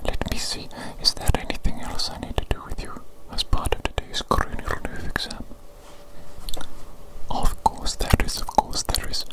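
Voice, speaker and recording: A woman whispering an ASMR medical roleplay as a fussy doctor, softly repeating her phrases in a breathy, unvoiced voice. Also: moderate pace, low-quality recording. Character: fussy evil doctor examining a creature. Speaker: ASMR performer playing a doctor.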